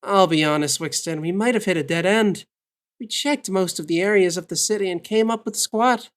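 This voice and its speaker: A woman's voice: mid-range voice, moderate pace, lightly acted. A woman playing a kind, wry young male familiarist who is easily flustered, talking casually and expressively in an audio drama. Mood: discouraged.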